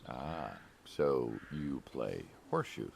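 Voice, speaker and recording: A man's voice: rumbling low, lively intonation, slow, gravelly, slight background noise, exaggerated voice. An actor playing a wry city hitman and reluctant friend in a game, conversational and a little hesitant. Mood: wry, teasing. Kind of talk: naturalistic dramatic game dialogue.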